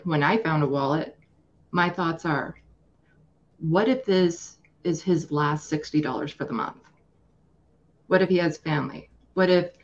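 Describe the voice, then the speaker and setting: A woman's voice: low-pitched, highs cut off. A woman guest speaking earnestly and emphatically in a casual political discussion, often acting out imagined quotes.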